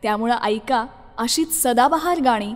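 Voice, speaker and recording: A woman's voice: on the high side, a little slow, big-room sound. A woman presenting a scripted radio show as its host.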